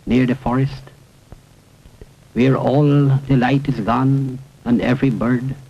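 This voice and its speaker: A man gives a solemn, dramatic poetry recitation at a slow pace, breaking it into short, deliberate phrases. The sound is a dull, lo-fi seventies film soundtrack, with music prominent behind him. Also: medium pitch. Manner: measured, with deliberate pauses between short phrases.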